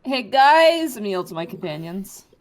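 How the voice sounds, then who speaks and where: A woman's voice: mid-range voice, sing-song, moderate pace. A woman playing a tabletop role-playing game, casually describing actions and speaking in character.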